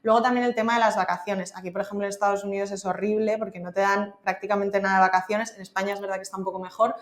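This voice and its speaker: A woman's voice: medium pitch, steady tone, rapid-fire, echoey room. A woman with a Spanish accent from Spain, a podcast guest talking candidly and casually, with lots of fillers. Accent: Spain, Castilian Spanish. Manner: candid, chatty, many fillers like "o sea" and "en plan". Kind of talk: casual interview conversation.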